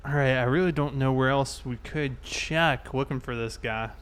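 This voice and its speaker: A man chatting casually and thinking aloud in a halting way as he livestreams a police roleplay game. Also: mid-range voice, a bit bright, smooth.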